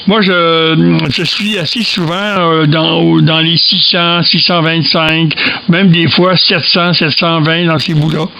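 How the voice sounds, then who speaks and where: Creaky-voiced Quebec French man on radio. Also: fairly high pitch, level tone, slow, pushed and bright. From Quebec, Canada. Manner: hesitant, repeats words. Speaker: amateur radio operator. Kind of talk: casual ham radio chat. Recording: noisy shortwave radio contact.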